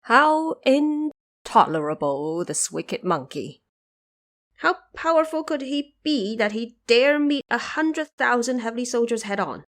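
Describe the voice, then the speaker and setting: A woman playing an imperious, indignant male ruler of heaven in an audio drama, with slow, weighty, rhetorical speech. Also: a little high, animated, a bit bright, voice pushed up.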